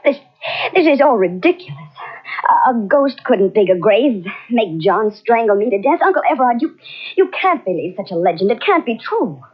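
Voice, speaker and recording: A frightened young bride. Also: female voice, fairly high pitch, very animated, normal speed, breathy edge. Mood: anxious. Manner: anxious, insistent. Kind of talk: 1940s radio acting. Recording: dull old radio transcription.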